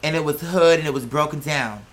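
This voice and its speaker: A performer voicing an awestruck celebrity client who slowly and solemnly recalls childhood hardship in a hushed, halting way. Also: medium pitch, pitched below the performer's own voice, lightly acted, rough recording. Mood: hushed amazement. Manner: hushed, slow, halting, amazed.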